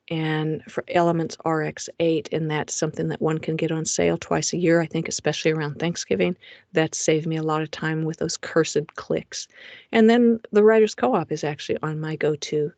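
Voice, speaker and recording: Low-voiced woman presenting with many fillers. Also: a little mellow, dry recording. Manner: chatty, with frequent fillers and restarts. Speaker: romance author. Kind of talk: presentation over slides in a video meeting. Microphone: home recording booth.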